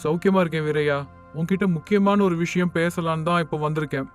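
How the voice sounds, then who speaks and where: Casting: man to play formal, unbending village moneylender in animated tale, higher-pitched voice, faint music bed. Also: quick pace, close to an everyday voice. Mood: businesslike. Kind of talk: animated moral story dialogue. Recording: music under the voice.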